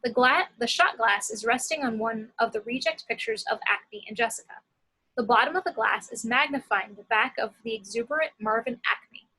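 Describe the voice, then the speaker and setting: A woman narrates screenplay action from a script. Her voice sits fairly high, with a sharp, forceful edge and some rasp. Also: moderate pace, low-quality recording. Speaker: narrator reading action descriptions.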